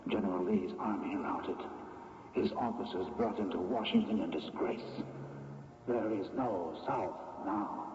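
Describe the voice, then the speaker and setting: An actor playing a grim, defiant assassin speaking solemnly from a vision, with a dreamlike echo, in old-time radio drama style. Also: male voice, sits low. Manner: solemn, declamatory. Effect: reverberant dream-voice echo. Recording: distant, echoing, noisy muffled radio recording.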